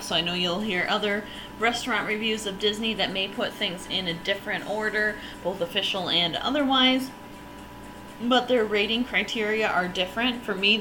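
A woman hosting her own podcast in a chatty, enthusiastic way, her voice slightly raspy and hoarse from allergies. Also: medium pitch, sharp tone, a little hiss or hum, poor audio. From northern region. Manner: chatty, enthusiastic, rambling with fillers. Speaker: podcast host. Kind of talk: casual solo podcast review.

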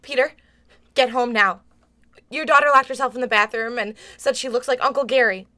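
Actress as anxious fussing mother, fairly high, tense bright voice, coaxing, comedic radio play. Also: a little fast. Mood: urgent, clipped. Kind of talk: comedic audio drama acting.

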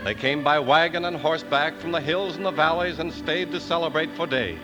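A man's voice: fairly high pitch, a little fast, poor audio. A man announcing and narrating an old-time western radio show in a scripted 1950s style. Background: organ music.

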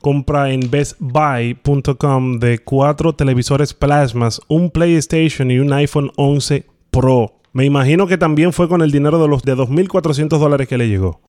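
A man playing a stern, formal government fraud investigator on a prank phone call, pausing hesitantly as he accuses. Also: mid-range voice, dark tone, dry recording, lightly acted. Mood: stern accusing. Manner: formal, officious, hesitant pauses. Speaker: prank caller.

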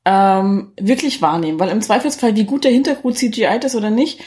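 A woman with a German accent co-hosts a relaxed film review podcast. Her voice sits in a middle register, and she explains her points in everyday, colloquial language. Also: mid-range voice, a little fast. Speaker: podcast co-host. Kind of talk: casual film review chat.

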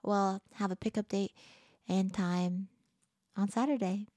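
A teenage girl hosts her own podcast and chats in an upbeat, rambling way, full of "like" and little restarts. Her voice is a touch tired and lower than usual. It is recorded close and dry, with a mid-pitched tone. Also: fairly even intonation, measured pace, a little mellow, no room sound. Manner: bubbly, upbeat, lots of "like" fillers. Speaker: podcast host. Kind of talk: casual solo podcast chat.

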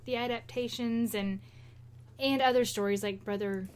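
A woman's voice: a little high, fairly even intonation, moderate pace, darkish tone, clean tone. A woman co-hosting a podcast, chatting casually and retelling stories in an informal, rambling, conversational way. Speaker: podcast co-host.

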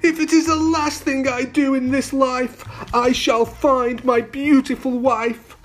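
A man's voice: very high voice, level tone, a little slow, pitched above the performer's own voice. A man voicing a devoted, emotional prince in a children's storybook, with dramatic exclamations. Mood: determined. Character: devoted young prince husband, earnest and emotional.

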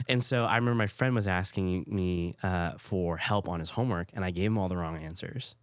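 A young man talks candidly on a podcast. His voice sits very low, and he keeps dropping "like" between thoughts as he tells personal stories. The close, dry mic leaves no room sound. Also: brisk, no room sound. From Hawaii. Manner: candid, filler-heavy storytelling with frequent "like". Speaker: podcast co-host. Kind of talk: casual chat.

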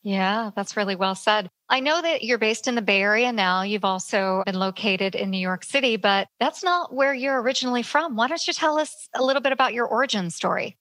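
A woman's voice: fairly high pitch, fairly quick, few pauses, a bit bright, no room sound. A woman hosting a podcast, reading her intro and chatting with a guest in an upbeat, conversational way. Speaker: podcast host. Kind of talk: scripted intro and casual interview.

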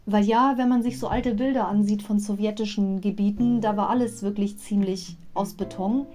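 A woman's voice: medium pitch, steady tone, normal speed, dark tone, soft background music. A woman hosting a fan podcast, retelling a children's audio story in a chatty, digressive way with personal asides. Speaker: podcast host.

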